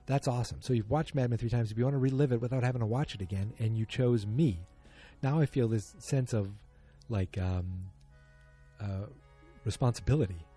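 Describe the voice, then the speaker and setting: Man, solo podcast host, casual rambling chat, husky voice, faint music underneath. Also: mid-range voice, a little fast, dark tone. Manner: rambling, self-deprecating, joking.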